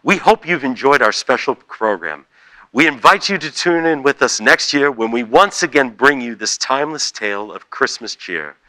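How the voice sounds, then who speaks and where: A man narrating a radio play as a scripted story, theatrical and measured. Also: mid-range voice, animated, sharp tone, low-quality recording.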